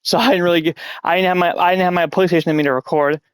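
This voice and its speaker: A man's voice: high-pitched, steady tone, racing, clear, resonant tone, no echo, clean studio sound. A man with a stuffy nose who is coming down with a cold, hosting a casual wrestling review chat at home in a rambling, sarcastic way. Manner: rambling, sarcastic, often annoyed. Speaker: podcast host.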